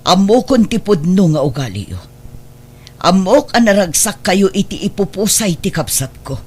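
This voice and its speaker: Actor playing a stern older Ilocano matriarch, swinging pitch. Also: female voice, big pitch swings, normal speed, a little mellow, slightly rough. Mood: stern, hurt. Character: ailing older wealthy adoptive mother, stern and resolute. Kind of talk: radio drama acting.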